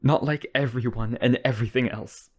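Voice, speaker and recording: A man's voice: medium pitch, steady tone, normal speed, a little mellow, natural voice. A man playing a lonely, obsessive, wealthy vampire brother in a boyfriend ASMR roleplay, coaxing and polite. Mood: pleading.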